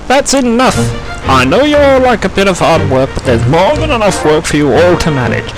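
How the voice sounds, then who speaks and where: Storybook train controller, man acting: pitched up, authoritative, fussy, firm delivery with music underneath. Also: big pitch swings, normal speed, rough recording. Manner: firm, officious, emphatic. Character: stern, fussy male railway controller, thin, authoritative. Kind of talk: acted dialogue in a children's train story.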